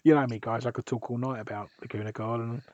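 A man hosts a casual music podcast, his voice husky and hoarse from a sore throat. He rambles and restarts his sentences. The sound is close, dry and a little dull. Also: medium pitch, steady tone, fast, a little mellow, no room sound. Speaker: podcast host.

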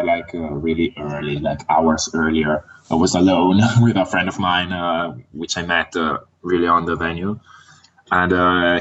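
A man whose first language is Italian, chatting casually as a guest fan on a podcast, pausing often with "uh" fillers. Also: deep voice, a bit bright, muffled recording. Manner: hesitant, many "uh" fillers.

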